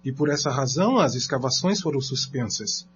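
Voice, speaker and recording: A man's voice: medium pitch, animated, brisk, a little hiss or hum. A man with a Brazilian accent reading scripted narration for a radio drama. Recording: dull lo-fi, faint music underneath.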